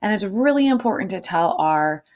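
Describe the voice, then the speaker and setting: Female read-aloud storyteller: expressive intonation, mid pitch, informal asides to young listeners. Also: normal speed. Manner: checks in with listeners using questions.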